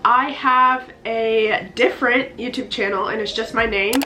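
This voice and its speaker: A woman's voice: on the high side, steady tone, a little slow, pushed and bright, slight background noise, rough recording. A nineteen-year-old woman with a congested, stuffy voice, chatting bubbly and gushing in a casual book vlog. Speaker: book vlogger. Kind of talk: casual chat to camera.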